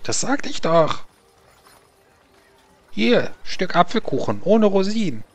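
A man's voice: sits high, big pitch swings, takes it slowly, voice pushed up, full character voice. A man voicing a gossipy, motherly old widow landlady in a tabletop game, fussing and stumbling over words. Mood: fussing, helpful.

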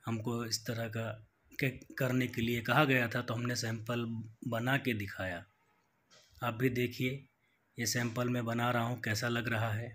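A man's voice: mid-range voice, little pitch movement, measured pace, fairly bright tone. Practical man explaining a polishing job. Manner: plain, practical explaining with small restarts. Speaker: wood polisher. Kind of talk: how-to voice-over.

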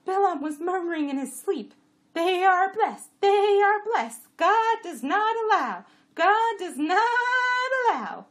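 Storybook jenny donkey: very high, bossy, braying, exasperated, swooping delivery. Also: female voice, sing-song, slow pace, fairly bright tone, full character voice. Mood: earnest, worried. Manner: braying, commanding, complaining. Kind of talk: audiobook character voice.

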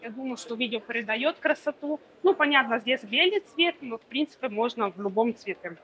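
A woman's voice: a little high, animated, fairly quick, bright, forceful tone, breathy edge, low-quality recording. A woman in her thirties presenting furniture at a fair stand, explaining fluently with many small fillers. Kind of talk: product demonstration.

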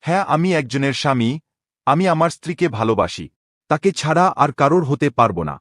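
A man's voice: fairly high pitch, lively intonation, fairly quick, no echo, studio quality, lightly acted. Man acting solemn, burdened young prince. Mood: firm, pained. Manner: formal, grave, deferential. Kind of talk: dramatic Bengali animated story dialogue.

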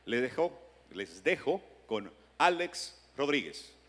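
A male emcee stands on a conference stage and reads a formal speaker introduction into a handheld mic. His delivery is measured and read aloud, and the big hall adds a long reverb. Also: mid-range voice, sing-song, fairly bright tone, slight background noise. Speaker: event emcee.

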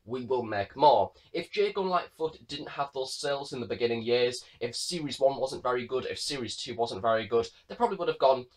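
A young man giving an enthusiastic, rambling casual review to camera as host. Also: mid-range voice. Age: young adult. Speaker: host, audio drama reviewer. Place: small bedroom, indoors.

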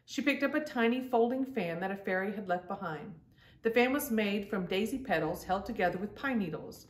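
A woman's voice: mid-range voice, animated, a little fast. A middle-aged woman hosting a children's storytime, reading aloud in a storytelling style at home. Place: indoor room at home. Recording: roomy, distant-mic home recording.

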